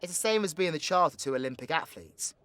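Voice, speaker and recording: The foul-mouthed British supervillain at the end of the pub bar in an audio drama, acted by a man, teasing crudely, higher-pitched and swinging all over. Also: expressive, fast talker, a bit bright, no room sound. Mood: sardonic, explaining. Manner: crude, bantering, slangy. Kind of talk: ASMR audio roleplay acting.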